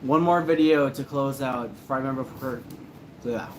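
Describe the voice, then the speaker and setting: A man hosting a casual livestream, relaxed and joking as he reads comments after a few drinks. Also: medium pitch, moderate pace, background noise. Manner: relaxed, joking, a little tipsy. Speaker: host of a paranormal channel livestream.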